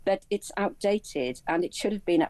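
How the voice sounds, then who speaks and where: A woman speaking as an expert guest in a live news interview, explaining in halting phrases with repeated words. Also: medium pitch, expressive, darkish tone, no echo. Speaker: aviation and travel commentator, interview guest.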